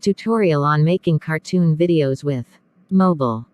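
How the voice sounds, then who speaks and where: A narrator reading a scripted step-by-step software tutorial. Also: mid-range voice, moderate pace, no echo, muffled recording.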